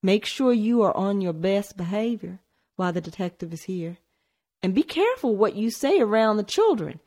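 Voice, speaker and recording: A woman voicing an anxious wealthy mother who forces composure, her voice strained and shrill. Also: big pitch swings, moderate pace. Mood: dry, firm. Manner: shrill and strained, sharp when scolding.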